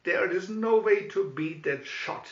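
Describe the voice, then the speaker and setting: A man's voice: high-pitched, measured pace, a bit bright, reverberant, dull audio, voice pushed up. A man voicing a tall, cocky young fighter in a boastful storybook manner. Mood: impressed. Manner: boastful, retorting.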